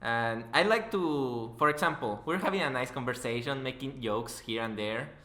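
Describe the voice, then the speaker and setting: A man's voice: mid-range voice, moderate pace, bright, forceful tone. A young man hosting a podcast as casual chat, joking and swearing informally. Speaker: podcast host, interviewer. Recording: echoey room.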